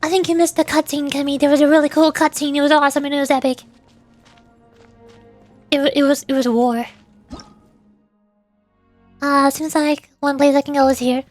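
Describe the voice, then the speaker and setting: A woman's voice: high voice, flat delivery, normal speed, long pauses, a little mellow, faint music behind. A woman doing casual livestream commentary on a game, chatty and playful as she talks to her viewers. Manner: chatty, reacting to chat and playfully calling out moves. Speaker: VTuber streamer playing a game.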